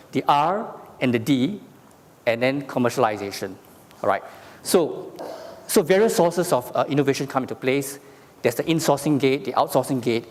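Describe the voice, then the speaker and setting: A man's voice: medium pitch, long reverb tail. A man from Singapore giving a conference talk, explaining with frequent fillers and asking "all right?" after his points. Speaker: conference speaker. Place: conference stage in a large hall.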